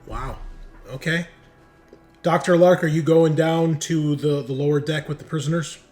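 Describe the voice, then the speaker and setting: A man's voice: a little high, steady tone, normal speed, darkish tone, faint music behind. A man acting as game master, casually improvising narration and talking with his players in a jokey way.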